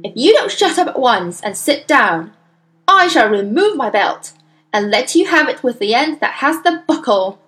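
A woman's voice: high-pitched, sing-song, moderate pace, fairly bright tone. A woman voicing an enraged, tyrannical headmistress in a storybook, bellowing venomous insults and bullying threats. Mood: bellowing fury.

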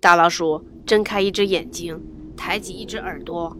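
A woman's voice: on the low side, steady tone, normal speed, sharp tone, a little hiss or hum. A woman narrating a picture book for children, playful and dramatic, often dropping into a whisper. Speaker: children's storyteller.

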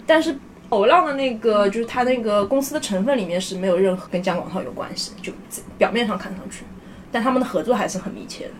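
A woman's voice: medium pitch, fast, a little hiss or hum. A woman chatting casually as a podcast guest, emphatic and often repeating herself for stress. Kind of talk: casual chat.

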